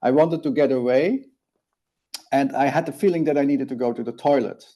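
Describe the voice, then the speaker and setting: A man in his forties from Belgium, a native Dutch speaker, telling his personal story as an interview guest in an earnest, halting way with frequent restarts. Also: medium pitch, dark tone. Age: 40s.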